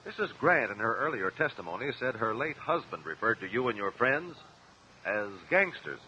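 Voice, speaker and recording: A composed, persistent lawyer in an old-time radio courtroom drama. His questioning is measured but moves expressively up and down in pitch. The recording is dull, with some noise under it. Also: big pitch swings, bright, forceful tone. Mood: insistent. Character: composed, probing attorney questioning witnesses.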